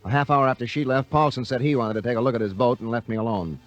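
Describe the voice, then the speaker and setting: A man's voice: mid-range voice, quick pace, natural voice. Man acting as hard-boiled adventurer, American accent, clipped delivery, vintage radio drama, faint music behind. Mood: guarded, brooding. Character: terse, determined treasure-diving adventurer man. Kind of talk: 1950s radio acting and first-person narration.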